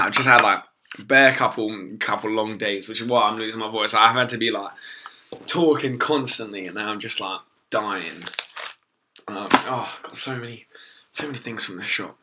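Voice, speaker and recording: A young man, hoarse from losing his voice, rambling casually through a live stream with lots of ums and swearing. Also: fairly low pitch, background noise. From England.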